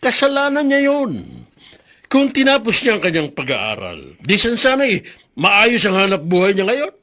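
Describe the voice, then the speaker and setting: A bitter, unforgiving grandfather in a radio drama. The voice is high for a man and forceful, with a stern, scolding delivery. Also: wildly swooping pitch, moderate pace, exaggerated voice. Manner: scolding, hard-hearted.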